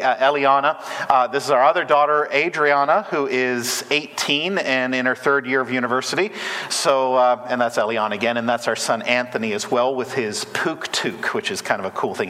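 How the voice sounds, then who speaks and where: A man in his forties from Canada, addressing a church congregation in a relaxed, joking way with lots of fillers and restarts. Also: mid-range voice, in a large hall. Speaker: church ministry representative. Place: church sanctuary.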